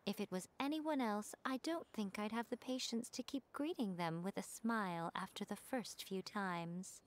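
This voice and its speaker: An actress playing a sly, teasing woman of high rank, languid and knowing as she speaks to a younger companion. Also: normal speed, background noise, close to an everyday voice. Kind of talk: video game voice acting.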